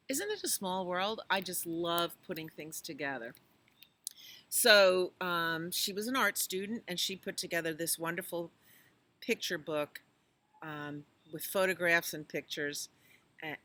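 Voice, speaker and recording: A woman reads a picture book for young children at an unhurried pace. Her voice is somewhat low for a woman, yet bright and clear-cut in tone. She is expressive and chatty, addressing the listeners directly. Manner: animated, exclamatory, asks listeners questions. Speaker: children's storytime reader at a library.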